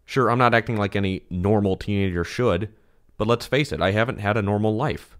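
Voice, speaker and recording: A man's voice: on the low side, a little fast, lightly acted. A man playing a reserved, polite, brooding rich teenage boy in an audio drama, hesitant and understated. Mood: weary, serious.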